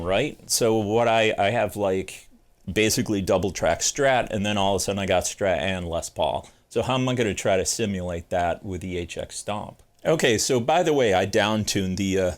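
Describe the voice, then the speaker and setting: Deep-voiced man hosting a guitar tutorial. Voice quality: deep bass singing voice. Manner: chatty, self-deprecating, thinking aloud with fillers. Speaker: guitar channel host. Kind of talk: casual gear tutorial. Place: home music studio with speakers and guitars.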